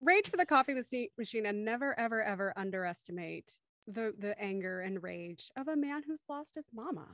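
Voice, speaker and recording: A woman's voice: medium pitch, lively intonation, a little fast, fairly bright tone, no echo, studio quality. A young woman hosting a casual after-show chat on a group video call. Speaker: host of a podcast after-show.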